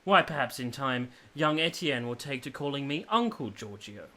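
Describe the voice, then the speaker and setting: A man's voice: medium pitch, big pitch swings, normal speed, pushed and bright, a little hiss or hum. An actor playing an ardent, stubborn young man in love in a period radio drama, earnest and pleading with formal phrasing. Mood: bitterly sarcastic. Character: ardent, stubborn young male lover from a village.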